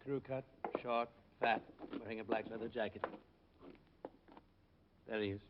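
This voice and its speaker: A man's voice: lowish voice, steady tone, a little slow, background noise, close to an everyday voice. A man playing a worried, wealthy client in a radio drama, speaking formally and precisely. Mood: uneasy. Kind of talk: 1950s radio drama acting. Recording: muffled old radio broadcast recording.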